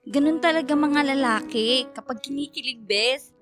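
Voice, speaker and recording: A woman's voice: sits high, normal speed, music in the background. A woman acting a protective, teasing young best friend with a gossipy manner in a radio drama.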